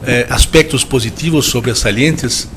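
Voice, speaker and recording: A man from Brazil speaking with a strong Brazilian Portuguese accent, giving a measured, reflective conference talk and often restarting as he searches for words. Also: medium pitch, very noisy. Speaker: guest lecturer. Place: lecture hall.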